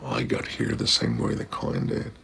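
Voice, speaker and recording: An actor playing an emotionless, menacing killer in a film, deadpan and deliberate. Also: male voice, a little hiss or hum, lightly acted. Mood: calm menace.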